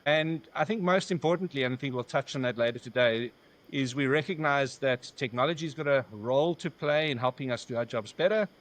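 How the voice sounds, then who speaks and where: A man's voice: mid-range voice, brisk. South African man, joking guest. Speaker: podcast guest, company founder. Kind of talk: casual interview chat.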